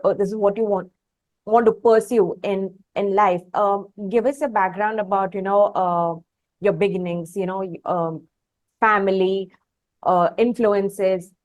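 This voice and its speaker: A woman's voice: medium pitch, darkish tone. A woman with an Indian accent interviewing a guest, putting long conversational questions together hesitantly in fragments.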